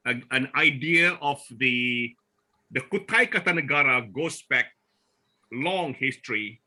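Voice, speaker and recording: A man from Borneo presenting at a forum over a video call, speaking haltingly with many restarts and fillers. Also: mid-range voice, sharp tone. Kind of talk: online presentation talk.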